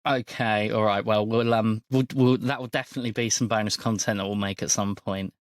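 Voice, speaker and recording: A man's voice: on the low side, steady tone, brisk, dry recording, studio quality. A man hosting a tabletop role-playing podcast in casual, jokey chat.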